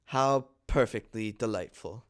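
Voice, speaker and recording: A man reading the part of an earnest, easily exasperated upper-class gentleman in love, in a theatrical, lofty manner for a stage comedy. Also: on the low side, unhurried, natural voice. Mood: lovestruck, lofty.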